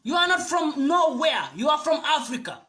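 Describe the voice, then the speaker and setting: A man speaks in a very high, sharp, bright voice. He gives a passionate address to camera. He drives his points home with preachy repetition. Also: sing-song, moderate pace. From Gambia. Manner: fiery, preachy, repeats phrases for emphasis. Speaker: video commentator.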